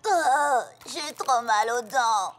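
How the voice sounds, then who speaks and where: A woman's voice: high voice, lively intonation, slow pace, loud music behind the voice. A woman voicing a blunt, casual young female pony with a sceptical manner in a children's cartoon dub. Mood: groaning.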